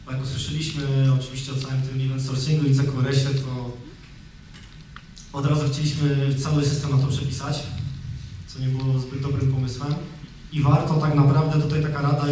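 A man's voice: medium pitch, darkish tone, a little hiss or hum. Man speaking in an echoey conference hall. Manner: conversational, with frequent fillers and restarts. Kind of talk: technical conference talk. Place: large conference hall, on stage. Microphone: handheld microphone. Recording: echoey hall, distant from the mic.

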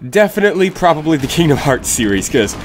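A man's voice: fairly high pitch, very animated, fast talker, a little hiss or hum. A young man with a stuffy, sick voice hosting a casual Q&A, rambling and correcting himself as he answers viewer questions. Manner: rambling, self-correcting, blunt opinions. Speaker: YouTube channel host.

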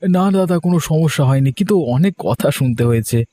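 A man's voice: a little high, expressive, fast talker, dark tone, close to an everyday voice. Man voicing an insistent young cartoon brother. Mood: troubled. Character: proud, insistent younger brother with a new office job. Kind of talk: cartoon moral story voice acting.

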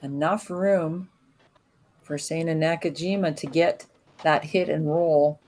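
A woman giving calm, analytical live commentary on a curling match. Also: low voice. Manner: calm, analytical play-by-play with pauses.

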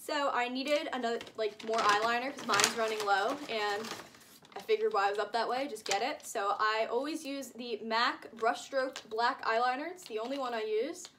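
A woman in her late teens speaks in a fairly high voice, in a casual, rambling vlog style. She gushes and exaggerates as she goes. The recording is homemade, with some noise behind her. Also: moderate pace. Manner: rambling, gushing, uses frequent "like" and hyperbole. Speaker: vlogger showing shopping haul. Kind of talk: casual chatty haul vlog. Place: indoors, small room with curtain backdrop.

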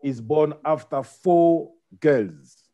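Measured middle-aged man from Congo. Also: a little high, mellow, muffled recording. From Democratic Republic of Congo. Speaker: guest, property investor. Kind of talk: casual video-call interview. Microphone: video call with earphones.

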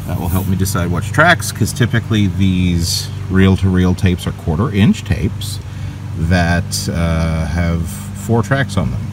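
A man's voice: deep voice, unhurried. A man giving a casual, wry gear tour, thinking aloud with self-questioning asides as a hobbyist vlogger. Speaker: vlogger and video transfer hobbyist. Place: outdoors in a backyard, at a patio table. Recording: clear outdoor ambient noise.

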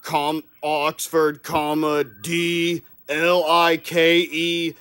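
A man's voice: a little high, completely flat delivery, drawn-out words, bright, forceful tone. A man in his thirties on a prank phone call, speaking in a terse, insistent, repetitive way, recorded close on a phone indoors. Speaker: prank caller. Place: small room indoors. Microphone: phone camera at close range. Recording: faint background noise, phone video.

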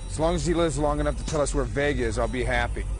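A man's voice: medium pitch, quick pace, music in the background, close to an everyday voice. A man in his thirties playing a tough, wry, cocky military commander in a sci-fi game cutscene. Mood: curt.

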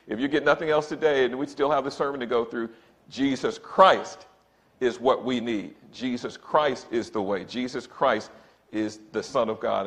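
A man's voice: mid-range voice, fairly bright tone. A man in his sixties, a church elder, preaching emphatically from a pulpit and often calling out to brothers and sisters. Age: 60s. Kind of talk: sermon. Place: church sanctuary, indoors. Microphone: pulpit microphone, far camera.

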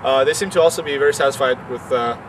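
Young man from Iran, student pilot, halting with lots of uhs, mic a few feet off with airfield noise behind. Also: mid-range voice. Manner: hesitant, many uhs, quickening as he gets excited. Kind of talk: outdoor interview. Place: outdoors on an airfield tarmac. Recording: distant outdoor mic, clear background noise.

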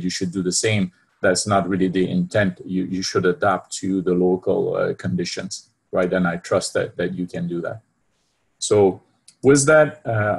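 Presenter: Belgian man, French accent, low husky voice, halting delivery, webcam call. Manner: hesitant, many fillers and restarts, keeps asking "right?". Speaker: university department head presenting. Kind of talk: online lecture presentation. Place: home room with bookshelves. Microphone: laptop webcam video call.

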